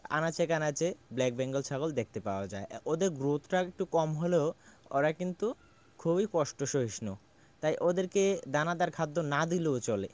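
Young bangladeshi guy, goat farmer, kinda high voice, earnest, lots of "ah" pauses, talking outside into a handheld mic. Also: a little mellow. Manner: earnest and explanatory, with frequent "ah" pauses. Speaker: small goat farmer and channel host. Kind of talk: casual advice talk to camera. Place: outdoor farmyard with goats and pigeons. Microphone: small handheld mic near the mouth.

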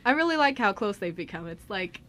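A woman's voice: medium pitch, sing-song, brisk, fairly bright tone, a little hiss or hum. A woman hosting a casual radio chat, often acting out imagined lines as she talks. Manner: animatedly acts out imagined quotes. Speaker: radio show host and script reader.

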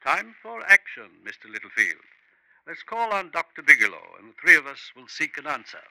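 An actor playing a resolute, measured physician investigating a crime in a 1950s radio drama. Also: male voice, fairly high pitch, wildly swooping pitch, creaky, pushed and bright, heavily acted, low-quality recording.

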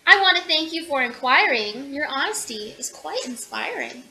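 A woman's voice: high voice, animated, normal speed, vocal fry, pushed and bright, exaggerated voice, low-quality recording. A woman voicing a fussy, polite storybook hippo in a prim, mannered way. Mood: fussy, gracious.

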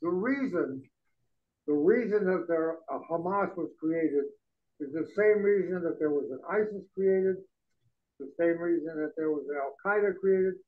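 A man's voice: a little high, normal speed, narrow, tinny audio. An older man speaking earnestly at an open-mic forum in a bar room. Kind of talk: public forum speech. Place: bar room with a small audience. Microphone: stand mic.

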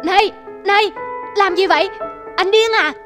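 Greedy old rich man, folk cartoon , voiced by a woman; high, smug, music bed. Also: moderate pace. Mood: panicked. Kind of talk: animated folk tale voice acting.

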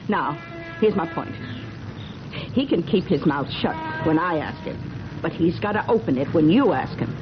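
An actress playing a determined, chatty middle-aged small-town busybody in a 1970s radio drama. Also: female voice, low-pitched, expressive, normal speed, loud music behind the voice. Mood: insistent, suspicious. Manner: chatty, insistent. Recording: muffled, noisy vintage radio broadcast.

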